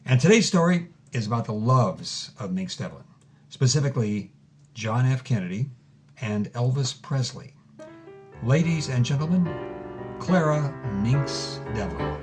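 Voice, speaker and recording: Guy acting as earnest podcast host, kinda theatrical, mid-pitched voice, music underneath. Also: animated, unhurried, close to an everyday voice. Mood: grand, dramatic. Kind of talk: scripted audio drama narration and interview.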